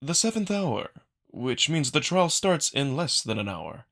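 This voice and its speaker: A man voicing a genteel, scheming male jackal noble in a visual novel, with a high, soft voice and formal, measured diction. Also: sing-song, heavily acted. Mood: polite, coy. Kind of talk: visual novel dramatic reading.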